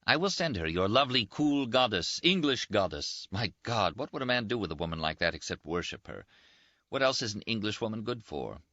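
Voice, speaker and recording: A man voicing a brash, teasing young Italian army surgeon in an audiobook, quick and boastful. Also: fairly low pitch, big pitch swings, bright, forceful tone, no echo, lo-fi sound, voice pushed up, heavily acted. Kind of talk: audiobook character voice.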